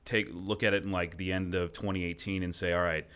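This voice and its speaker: Quick-talking podcast host guy, deep voice, rambly casual questions. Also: little pitch movement, fairly bright tone, smooth. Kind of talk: casual interview talk.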